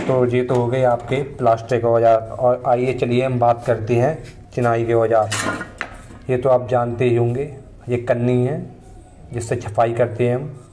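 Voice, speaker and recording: A man in his thirties talks through his mason's tools in a plain, unhurried way, his voice staying level with little rise and fall. It sounds like an outdoor phone recording with faint background noise. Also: mid-range voice, mellow. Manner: plain, unhurried explaining, pointing at each tool in turn. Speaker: mason and channel host. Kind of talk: casual tool demonstration. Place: paved courtyard outdoors, tools laid on the ground.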